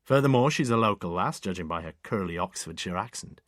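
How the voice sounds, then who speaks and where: A man's voice: deep voice, animated, brisk, fairly bright tone, no echo. A man voicing a sardonic, lofty middle-aged detective inspector with a dry, clipped manner.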